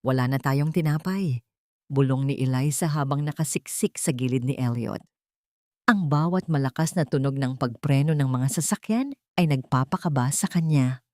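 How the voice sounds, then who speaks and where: A woman delivers dramatic scripted narration for an audio drama. Her voice sits low, and the sound is close and dry, with very little room around it. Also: moderate pace, warm tone, no echo.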